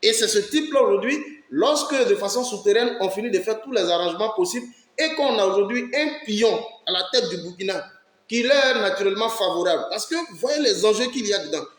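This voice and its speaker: A man's voice: sits high, expressive, a little fast, fairly bright tone. A man from Ivory Coast, giving impassioned political commentary on his own channel, emphatic and fond of rhetorical questions. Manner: emphatic, rhetorical questions, urges listeners to listen. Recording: roomy echo.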